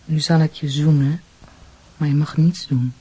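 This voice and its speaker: A woman playing a coquettish, demanding young fiancée in a radio play, with a husky, breathy voice. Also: sits low, steady tone, normal speed, warm tone, rough recording. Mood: cooing, teasing. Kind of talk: Dutch radio play acting.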